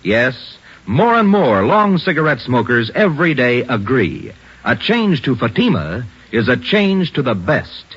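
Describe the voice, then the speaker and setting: An American man reading a vintage radio advertisement as an announcer, with an emphatic, salesmanlike delivery and a husky voice. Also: mid-range voice, sing-song, measured pace, fairly bright tone. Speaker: commercial announcer. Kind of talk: 1950s radio advertisement read. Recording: dull old radio recording.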